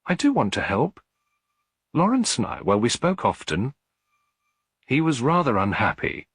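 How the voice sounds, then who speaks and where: A man's voice: mid-range voice, huge pitch swings, measured pace, a bit bright, husky, no room sound, voice pushed up, heavily acted. A man reading as a subdued, unhappy younger woman. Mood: subdued, confiding. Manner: hesitant, subdued, confessional. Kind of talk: detective story character reading.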